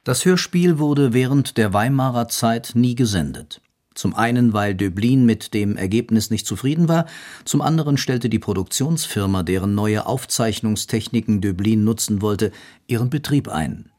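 A man's voice: lowish voice, moderate pace, darkish tone. A man reading scripted documentary narration in a sober, matter-of-fact way.

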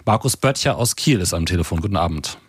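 Radio host guy, really low husky voice, calm, dry studio mic. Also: quick pace, no echo. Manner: calm, attentive, with short listening sounds. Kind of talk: call-in radio talk.

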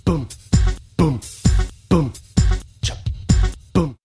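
An actor playing a human techno beat, mouthing percussive syllables in a radio play. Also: male voice, on the high side, very slow. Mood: steady, mechanical. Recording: loud music under the voice.

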